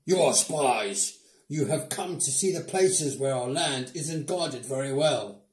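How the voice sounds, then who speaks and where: A man's voice: mid-range voice, takes it slowly, natural voice. A man playing a mean, stern governor who accuses and commands, in a storybook-style dramatic reading. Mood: harsh, accusing. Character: stern, mean male governor interrogating suspects. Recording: roomy indoor echo.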